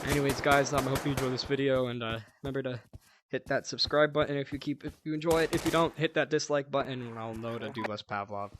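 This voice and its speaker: A man's voice: medium pitch, quick pace, heavy background noise. A man hosting a gaming video, chatting casually and joking with a teammate while he plays. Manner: jokey, chatty, talks to teammate while playing. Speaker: gaming channel host. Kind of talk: casual gameplay commentary. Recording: gunfire and clicks behind. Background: game gunfire.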